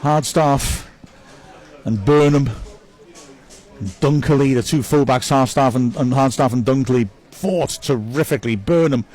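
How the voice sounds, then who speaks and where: A man's voice: medium pitch, a little slow, darkish tone. A man giving live club commentary on a football match, reading out viewer messages and chatting upbeat about each player.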